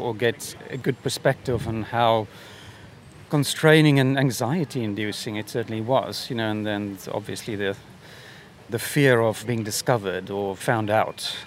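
A man in his fifties from South Africa whose first language is Afrikaans, an author speaking in a measured, reflective way as an interview guest, with hedges and restarts. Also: medium pitch, slight background noise. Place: busy book festival venue.

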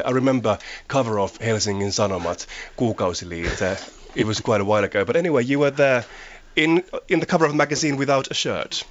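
Low Finnish man asking questions. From Finland. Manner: rambling, searching questions. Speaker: interviewer. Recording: dull lo-fi sound.

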